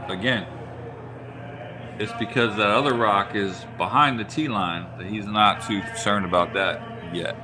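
A man doing color commentary on a curling match, calmly explaining shot choices. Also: lowish voice, measured pace, over music. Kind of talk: sports commentary.

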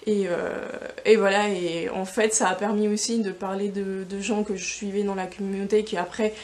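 A woman's voice: mid-range voice, flat delivery, normal speed, darkish tone. Young Spanish-born woman chatting casually. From Spain. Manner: rambling, many fillers and restarts, gesturing with hands. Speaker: knitting podcast host. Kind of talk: casual video podcast chat. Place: yarn shop in front of shelves.

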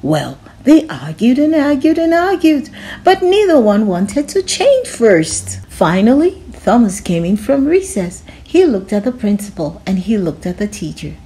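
Slow, lively woman reading a kids' book. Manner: slow, lively, giggly reading with big pitch swings. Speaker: storytime channel host. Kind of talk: children's picture book read-aloud.

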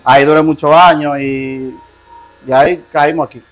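A Dominican man in his late thirties, a barber answering interview questions briefly and softly in his shop, on a lapel mic. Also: fairly high pitch, steady tone, moderate pace, faint music behind. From Dominican Republic. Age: 30s. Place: small barbershop, indoors.

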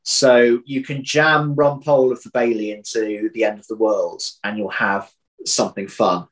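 Halting man thinking aloud. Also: lowish voice, steady tone, rough recording. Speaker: audio drama writer, interview guest. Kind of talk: casual reflective interview talk. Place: home room, indoors. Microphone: video call, computer at arm's length.

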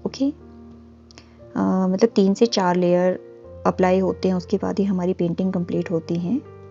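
A woman giving a calm, instructive voice-over for a step-by-step painting tutorial, pausing often with fillers. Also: fairly low pitch, muffled recording, soft background music. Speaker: art teacher. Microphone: voice-over, camera on hands and canvas.

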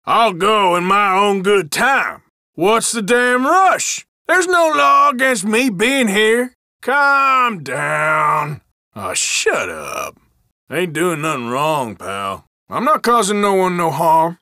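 Irritable old west outlaw for a game, american western drawl, folksy n defensive, pitched up high, forceful edge. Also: male voice, sing-song, slow pace, vocal fry, dry recording, clean studio sound, exaggerated voice. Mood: irritated. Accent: American, rural Western drawl. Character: gruff Old West outlaw cowboy, male, irritable and wary. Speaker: video game character. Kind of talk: game voice lines, short barks.

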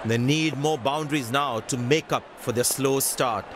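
A man giving brisk, measured cricket commentary lines for a video game. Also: mid-range voice. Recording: loud game noise behind.

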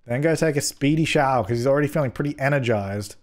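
A young man with a slightly hoarse voice from a cold, giving jokey, chatty commentary while playing a life-simulation game and scolding his characters, recorded on a headset mic at home. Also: mid-range voice, fast talker. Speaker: gaming YouTuber. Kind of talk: casual let's-play commentary.

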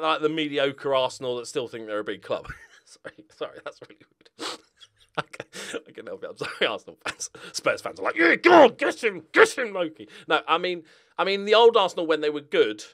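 Animated, high-pitched man chatting. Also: fairly quick. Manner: animated, jokey, sweary, chuckling. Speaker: YouTube gaming channel host. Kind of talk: casual humorous chat to viewers. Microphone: desk condenser microphone up close.

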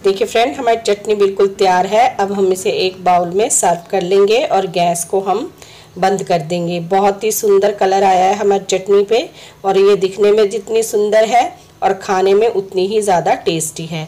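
A woman with a slightly hoarse throat, a home cooking host, calmly explaining each step of a recipe in her kitchen. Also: medium pitch, normal speed. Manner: instructive, friendly, repeats steps.

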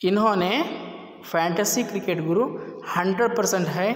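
A man's voice: high-pitched, moderate pace. A man who is not a native Hindi speaker, earnestly explaining a recorded phone call and pleading with viewers to listen. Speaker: channel host commenting on call recording. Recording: echoey room with long reverb.

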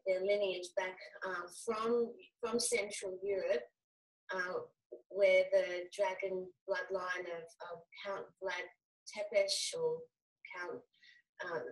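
A middle-aged woman whose first language is Hungarian, a guest on a video-call interview, talking casually and rambling with many ums and restarts. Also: mid-range voice. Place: small room indoors. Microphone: video call webcam. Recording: distant webcam mic.

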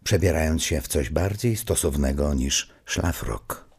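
A man playing the wry storyteller of a comedy audio play, reading scripted narration in a gravelly voice. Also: very deep voice, measured pace, darkish tone, exaggerated voice.

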